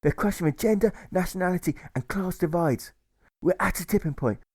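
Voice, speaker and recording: A man's voice: sits high, animated, brisk, a little mellow, no echo, clean studio sound, voice pushed up. A man voicing a genteel, impassioned suffragist woman making rousing speeches with flamboyant flair in an audiobook.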